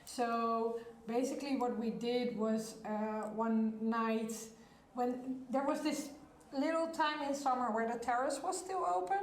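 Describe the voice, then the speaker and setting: A woman's voice: fairly high pitch, measured pace. A woman who works as a DJ and club founder, chatting casually in an interview. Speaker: DJ, club founder and label owner being interviewed. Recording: echoey room with faint background noise.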